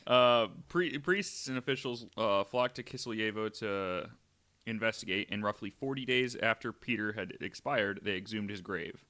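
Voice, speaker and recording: A man's voice: on the low side, normal speed, bright, forceful tone. A young man co-hosting a podcast, reading a spooky article aloud with jokey asides. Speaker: guest co-host.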